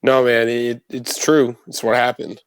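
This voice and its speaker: A man's voice: mid-range voice, level tone, measured pace, smooth, no echo. A man playing a tabletop roleplaying game, recounting events in character in a casual, slangy way.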